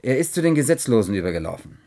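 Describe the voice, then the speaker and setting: A man's voice: medium pitch, big pitch swings, very fast, warm tone. An actor playing an earnest, polite investigating chronicler in a German radio play, hesitant but persistent in his questions. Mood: preoccupied, inquiring.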